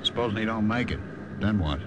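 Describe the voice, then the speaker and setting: An actor playing a blunt, sardonic, nervy American soldier sidekick in a 1950s radio drama. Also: male voice, fairly low pitch, lively intonation, fairly quick, close to an everyday voice. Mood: uneasy. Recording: noisy old radio recording.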